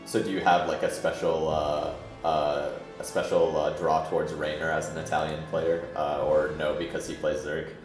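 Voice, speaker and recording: A man with a very deep voice hosts an esports match. He commentates casually and chattily, in an unhurried, laid-back way. The sound is roomy and echoing, with music playing behind him. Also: flat delivery. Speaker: esports tournament host and commentator. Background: background music.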